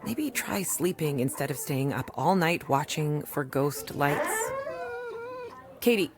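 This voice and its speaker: An actress playing a weary, dry, no-nonsense rural doctor in an audio drama. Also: female voice, low-pitched, takes it slowly, full character voice. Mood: weary, curt. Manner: dry, deadpan. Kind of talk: audio drama acting with recorded diary narration.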